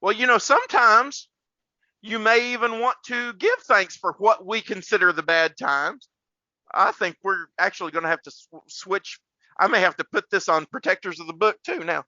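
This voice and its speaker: A man's voice: sits high, moderate pace, pushed and bright, dry recording, highs cut off. A man hosting a casual faith podcast like a preacher, rambling and repeating words for emphasis.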